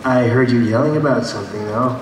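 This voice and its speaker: A man voicing a kind, modest little dragon, earnest and reassuring, in an animated radio play. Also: medium pitch, a little fast, lightly acted. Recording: echoey hall playback, distant, faint noise.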